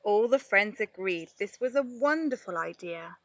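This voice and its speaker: A woman reading a children's story aloud in an expressive narrating style. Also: medium pitch, normal speed, no room sound. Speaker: storybook reader, play therapist.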